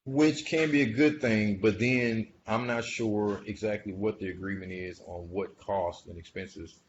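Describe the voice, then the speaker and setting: A man's voice: lowish voice, steady tone, a little slow. A man giving informal investor commentary in a hesitant, musing way, often trailing off between phrases. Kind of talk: informal earnings call commentary. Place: screen-share voice-over recording. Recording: dull lo-fi audio with faint noise.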